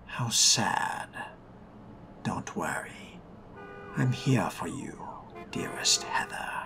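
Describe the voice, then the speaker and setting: A man voicing a suave, possessive vampire with refined, theatrical diction and a breathy, whispery delivery in a game roleplay. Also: on the low side, expressive, extremely slow, heavily acted. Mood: seductive, soothing. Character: suave, possessive male vampire fledgling, menacingly tender. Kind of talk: in-character roleplay narration of a video game. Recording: music behind the voice.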